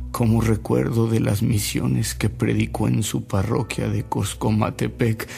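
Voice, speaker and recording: Radio drama, reflective, weary Mexican narrator: slow, measured, heavy rasp, dramatic pauses. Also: male voice, medium pitch, darkish tone. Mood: confiding. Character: weary, reflective man recalling a saintly bishop's deeds.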